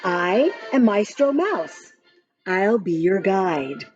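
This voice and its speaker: A woman reading a rhyming picture book aloud to young children in an expressive, sing-song way, with counting and little asides. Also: mid-range voice, slow. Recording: muffled lo-fi audio with background music. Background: music from a picture-book app.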